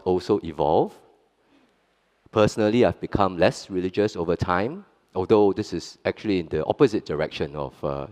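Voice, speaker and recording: A man's voice: deep voice, a little mellow. A middle-aged man from Singapore with a Singaporean English accent, whose first language is Hokkien, speaking earnestly on a conference panel with frequent restarts and wry asides. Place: large conference hall. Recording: reverberant conference hall.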